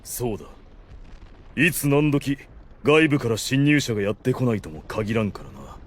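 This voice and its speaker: A male actor playing a solemn, benevolent undead ruler in an anime audio drama, speaking in grand, formal, measured phrases. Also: mid-range voice, animated, lightly acted. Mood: stately, approving.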